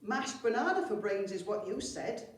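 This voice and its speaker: A woman's voice: mid-range voice, big pitch swings, moderate pace, voice pushed up, lightly acted. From across a very echoey room comes a dim storybook goblin sidekick performed by a woman. The character is blunt and cheerfully tactless, blurting things out in an eager bid to help. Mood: tactless, helpful. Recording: very echoey room, far from mic.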